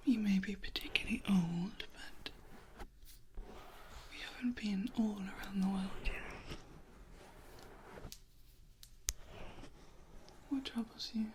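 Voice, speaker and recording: A woman playing an ancient, protective female vampire in an ASMR roleplay, in a soft, breathy whisper with formal, old-fashioned phrasing. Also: medium pitch, a little hiss or hum, close to an everyday voice, poor audio. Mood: gently insistent. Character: ancient, protective, softly disdainful female vampire tending a loved one.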